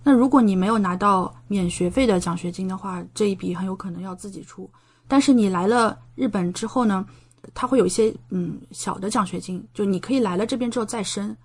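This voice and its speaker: A woman's voice: mid-range voice, brisk, husky. A woman from China talking casually as an interview guest, explaining things at length with plenty of examples. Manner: explanatory, talkative, gives examples. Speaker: podcast guest, doctoral student in medicine living in Japan.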